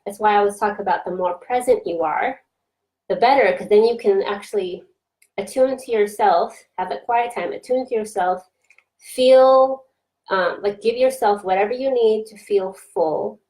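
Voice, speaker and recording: A woman's voice: medium pitch, normal speed, darkish tone, low-quality recording. A woman coach talking casually and reflectively on a live video stream, with frequent fillers and restarts. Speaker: coach for introverted business owners. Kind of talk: casual Facebook Live talk.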